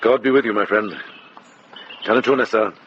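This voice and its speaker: Man acting a deep-voiced, wise old monk. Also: steady tone, muffled recording, very noisy, lightly acted. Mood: assured. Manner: unhurried, gently wry. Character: wise, kindly, wry older male monk and healer. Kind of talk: radio drama acting.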